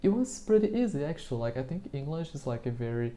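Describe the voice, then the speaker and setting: A man whose first language is Portuguese talks in English with a mellow, darkish tone and a middling pitch. He rambles in a friendly, hesitant way, stacking "like" and small restarts as he searches for words. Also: big pitch swings, fast, a little hiss or hum. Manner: chatty, hesitant, leans heavily on the filler "like". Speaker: interviewer practicing English. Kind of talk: casual street conversation.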